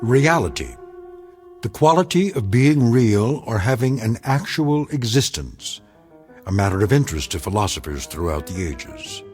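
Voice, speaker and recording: A grave American narrator of an eerie radio drama. His low voice rises and falls dramatically, measured and portentous. Faint music plays underneath. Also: big pitch swings, a little mellow. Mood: grave, ominous. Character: grave, ominous omniscient narrator of eerie tales.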